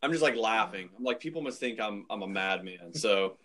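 A man's voice: on the low side, animated, a little fast, a bit bright. A man hosting a casual podcast, talking in a loose, rambling, informal way with the odd swear word. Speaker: podcast host.

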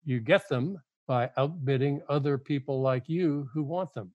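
A man's voice: mid-range voice, normal speed, a little mellow, no echo, lo-fi sound. An older man, a guest author on a podcast, making his case earnestly and often repeating words as he goes. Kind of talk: podcast interview.

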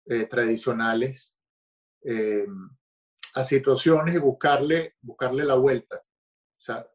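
Venezuelan guy talking from home, laid back, lots of ums and restarts, middle pitch. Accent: Venezuelan Spanish. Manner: relaxed, hesitant, with many fillers and restarts. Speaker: guest author. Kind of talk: podcast interview.

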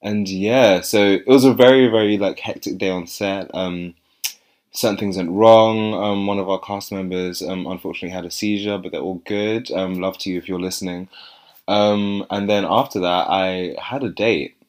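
A young man, chatting casually as a podcast co-host and telling stories with lively quoted voices. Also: deep voice, moderate pace. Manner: chatty storytelling, animated when quoting others. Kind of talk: casual chat.

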